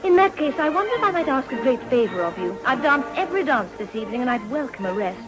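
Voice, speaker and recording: A woman's voice: sits high, big pitch swings, fast talker, over music, low-quality recording. An actress playing a poised, earnest young Edwardian society lady with an upper-class English accent and precise, genteel speech in a period radio drama. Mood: gracious, sociable.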